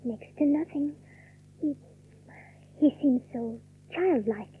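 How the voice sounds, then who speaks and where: An actress playing a polite, timid young country cousin in a radio drama, speaking with hesitant courtesy. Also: female voice, high-pitched, takes it slowly. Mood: shy, flustered. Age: young adult. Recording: dull, dry old radio recording.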